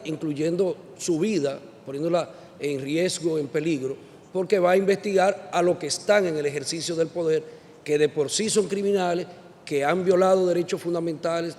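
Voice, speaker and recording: A man from the Dominican Republic speaks from the floor as a parliamentary delegate, building his point earnestly toward a pointed question. His voice sits somewhat high for a man. The sound carries a long hall reverb with faint background noise. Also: a little mellow. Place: large conference hall.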